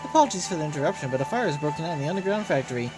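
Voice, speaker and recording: A woman voicing an unflappable digital assistant in an anime game, formal, brisk and deadpan. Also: deep voice, low-quality recording. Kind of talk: voiced reading of anime game dialogue. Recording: loud game music behind voice.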